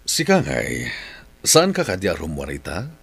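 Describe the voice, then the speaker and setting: A man's voice: a bass voice, huge pitch swings, unhurried, vocal fry, fairly bright tone, husky, heavily acted. A man playing a kindly, easygoing father in a Filipino radio drama. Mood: mild, fatherly.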